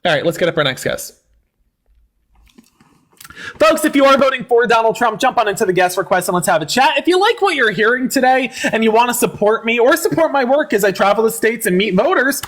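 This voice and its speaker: A man's voice: sits high, fairly bright tone. Sarcastic livestream host, man. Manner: sarcastic, combative and theatrical. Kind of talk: livestream debate chat.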